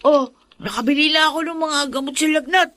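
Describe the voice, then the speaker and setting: A high, youthful voice playing a poor young Filipino boy in a radio drama. He is earnest, respectful and eager to help his family. The sound is close and dry. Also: a little fast, pushed and bright, no echo. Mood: eager, proud.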